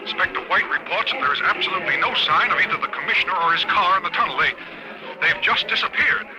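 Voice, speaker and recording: A man playing an excited male radio announcer reporting from a helicopter in a 1940s radio drama, with brisk announcer delivery. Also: sits high, poor audio.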